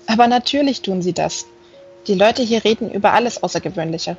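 A woman's voice: mid-range voice, fairly quick, dull audio, faint music behind, natural voice. A woman voicing a curious, plain-spoken village woman in an audiobook, direct and inquisitive. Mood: amused, frank.

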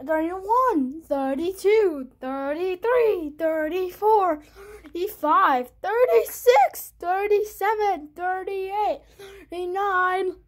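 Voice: very high voice, takes it slowly. An amateur performer doing a playful homemade cartoon dub, counting numbers aloud. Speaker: amateur fan voice-over performer.

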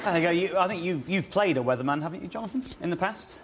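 A man in his thirties hosting a comedy panel show, chatty and quick to laugh as he prompts his guest. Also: high-pitched, lively intonation, a little hiss or hum. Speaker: game show host. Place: TV studio with a live audience. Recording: loud studio audience behind.

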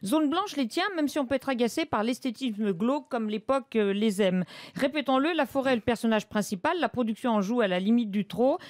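A woman's voice: on the high side, a little mellow, no room sound, studio quality. A middle-aged woman giving a scripted radio review with opinionated, emphatic asides. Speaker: radio TV critic and columnist. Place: radio studio. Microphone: close studio microphone.

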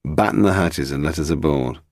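A man's voice: extremely low pitch, a little fast, a little mellow, dry recording. A man voicing a blunt, sardonic British detective in an audiobook, with a dry, commanding manner. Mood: brusque. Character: blunt, sardonic British male police detective, coolly authoritative.

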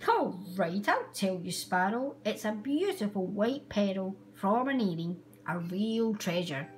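A woman's voice: mid-range voice, sing-song, a little slow, slight background noise, voice pushed down. A woman voicing a selfish male crow in a storybook, cawing and mocking smugly. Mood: smug, taunting.

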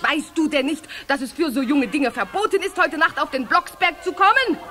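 A woman voicing a conceited, wicked weather witch in a children's radio play, haughty, shrill and accusing. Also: moderate pace, sharp tone, faint music behind. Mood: haughty, threatening.

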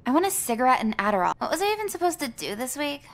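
High-voiced snarky American teen girl. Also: sing-song, racing, background noise. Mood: jaded, sarcastic. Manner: snarky, blunt. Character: jaded, sarcastic eighteen-year-old girl, foul-mouthed. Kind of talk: voiced visual novel acting.

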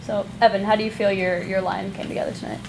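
A woman reporter briskly asking questions at a press conference. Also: medium pitch, flat delivery, creaky, background noise.

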